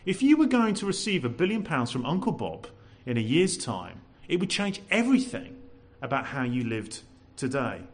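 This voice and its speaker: Man, preacher, expressive and loud, rises and falls a lot. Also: mid-range voice, moderate pace, hardly any pauses, slightly rough. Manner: lively, animated preaching with rhetorical questions; says he has a loud voice. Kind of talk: sermon.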